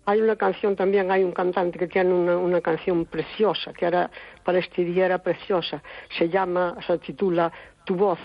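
This is an older woman calling in to a radio show with a gravelly, husky voice. She is chatty and keeps repeating herself as she insists on her point. The line sounds dull and lo-fi. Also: fairly low pitch, level tone, moderate pace.

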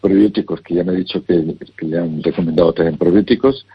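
A man giving health advice, measured and instructive, carefully repeating the names of remedies. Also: sits low, steady tone, gravelly, dull audio. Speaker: health advisor. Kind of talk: advisory call-in consultation.

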